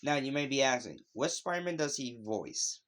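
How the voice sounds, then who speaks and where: Man, medium-pitched voice, video host, casual opinion vlog, conversational, digressive, unscripted. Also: very animated, normal speed, fairly bright tone. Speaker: YouTube video host reviewing animated shows.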